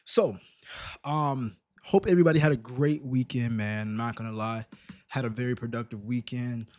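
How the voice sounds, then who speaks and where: Casual, slightly raspy male livestream host. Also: mid-range voice, normal speed, darkish tone, no echo. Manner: slangy, emphatic, rhetorical questions. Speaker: live stream host reacting to videos.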